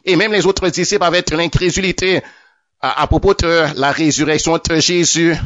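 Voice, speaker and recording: A man with a fairly high voice gives a religious testimony in a plain, unscripted way, often stumbling and saying words twice before he goes on. The recording is dry and close, with a dull, lo-fi sound. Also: steady tone, fairly bright tone, dry recording. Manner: repeats words often, halting restarts.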